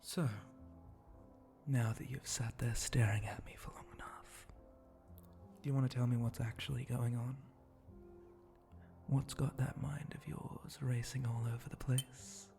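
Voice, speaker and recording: A man voicing a flirty, teasing spider-monster bartender in an intimate ASMR roleplay, breathy and often close to a whisper, with a languid, suggestive way of talking. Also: mid-range voice, level tone, warm tone, soft background music. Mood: teasing, attentive. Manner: slow, languid, suggestive drawl with pauses and hums.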